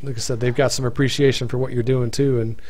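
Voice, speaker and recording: A man's voice: mid-range voice, level tone, fast, a little mellow. A man hosting a casual live stream, talking in loose rambling sentences with many restarts, his voice tiring. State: voice getting tired. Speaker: stream host.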